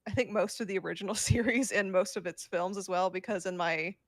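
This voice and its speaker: A woman with a medium-pitched voice talks casually on a fan podcast as one of the panelists. The sound is very dry, with no room on it. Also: brisk, no room sound.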